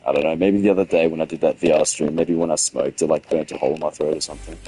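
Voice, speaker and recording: A young man with a hoarse, sick-sounding voice gives rambling, swearing commentary as he streams a game and chats with viewers. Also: a bass voice, fairly even intonation, rapid-fire, warm tone, a little hiss or hum. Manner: rambling, swearing, frequent restarts. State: hoarse from a cold. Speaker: gaming streamer. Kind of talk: casual livestream gameplay commentary.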